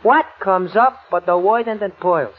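On a tinny old radio recording, a jittery little sidekick talks in a high, husky voice. He stammers and muddles along in streetwise slang, loyal and none too bright. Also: moderate pace. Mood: flustered, rambling. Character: nervous, dim, loyal male crook's sidekick. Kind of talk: 1940s radio comic-sidekick acting.

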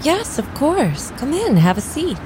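A woman playing a calm, welcoming and mysterious tarot reader in an improvised radio drama, with deliberate pauses. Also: high voice, noisy background. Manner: deliberate, enigmatic, pausing between words.

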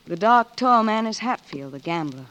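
A woman's voice: mid-range voice, expressive, moderate pace, fairly bright tone, close to an everyday voice. An actress playing a guarded, wistful young dance hall woman in a 1940s radio western, hesitant and subdued. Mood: matter-of-fact. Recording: hissy vintage 1940s radio recording.

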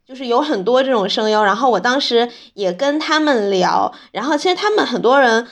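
A woman with a somewhat high voice co-hosts a podcast. She chats casually and slips into anecdotes, with a playful, joking way of talking. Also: animated, brisk. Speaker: podcast host.

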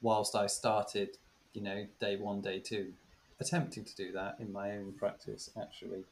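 A man's voice: lowish voice, flat delivery, normal speed, a little hiss or hum. Stammering, reflective man. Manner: reflective, many restarts and stammered repeats. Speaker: podcast co-host, former teacher. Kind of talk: casual chat.

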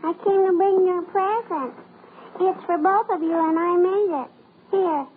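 Very high-pitched eager little girl. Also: a little slow, a little hiss or hum. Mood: eager, proud. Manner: childish, wheedling, repeats little questions and tags. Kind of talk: 1940s radio comedy acting. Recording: muffled old radio broadcast.

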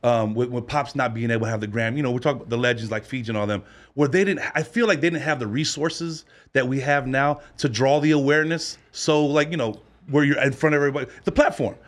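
A man hosting a podcast in casual interview chat, animated and quick to laugh, cheering his guests on. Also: mid-range voice. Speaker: podcast host.

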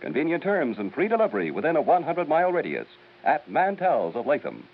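A man who works as a local station announcer, reading a 1950s radio commercial as an emphatic sales pitch. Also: wildly swooping pitch, fast. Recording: tinny, hissy 1950s radio recording.

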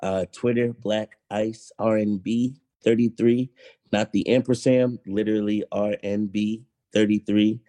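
Relaxed mellow-voiced young man. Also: fairly low pitch, unhurried. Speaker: musician guest. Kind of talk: casual interview chat.

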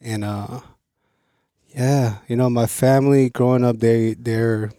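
A man from Korea raised in Los Angeles, telling his story as a guest in a relaxed, repetitive way. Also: mid-range voice, slow pace, clear, resonant tone, no echo, studio quality. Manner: relaxed, repeats words while thinking. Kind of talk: casual interview.

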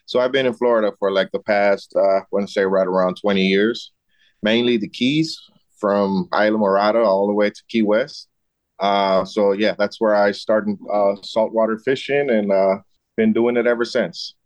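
A man in his late thirties, from Costa Rica and raised in the US Midwest, giving friendly explanatory interview answers with frequent pauses. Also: fairly low pitch, fairly even intonation, dry recording. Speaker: fishing director guest.

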